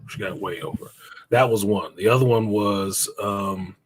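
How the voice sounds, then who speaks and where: Blunt middle-aged guy telling his story, lowish voice, faint hum in back. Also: a little slow. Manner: blunt, plainspoken, curses. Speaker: guest. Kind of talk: personal story in interview.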